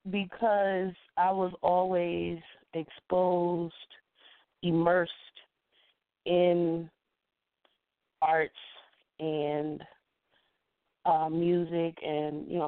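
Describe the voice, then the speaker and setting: A congested woman recovering from the flu, speaking deliberately and reflectively as an interview guest who is a poet and educator. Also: on the low side, no room sound. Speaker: featured guest, spoken word poet and educator. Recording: muffled phone-quality call-in line.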